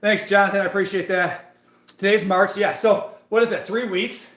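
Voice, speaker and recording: Livestream fitness host, man in his thirties, slightly high voice, loose hyped chat, roomy echo. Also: fairly even intonation, normal speed, a bit bright. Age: 30s. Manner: chatty, hyped, talks to viewers in the chat. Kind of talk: casual live-stream chat while working out.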